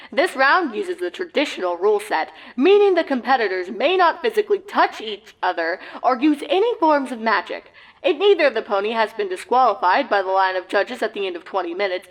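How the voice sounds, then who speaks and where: A woman puts on a cartoon pony sports announcer with a high, very animated voice. The delivery is showy mock commentary, full of grandiose excitement. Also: low-quality recording. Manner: grandiose, drawn-out introductions.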